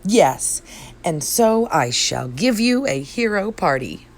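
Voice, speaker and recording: A woman's voice: lowish voice, sing-song, takes it slowly, heavily acted. A woman voicing a kind young boy in a storybook, earnest and encouraging. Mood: proud, encouraging. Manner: earnest, praising. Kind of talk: storybook read-aloud.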